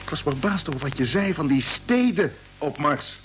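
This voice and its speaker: A man's voice: on the high side, animated, moderate pace, fairly bright tone. A man acting an earnest, uneasy spaceship commander in a vintage radio serial, with a hesitant way of talking. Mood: agitated, accusing. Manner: hesitant, repeats words when troubled. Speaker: radio drama actor. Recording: old radio play with music underneath.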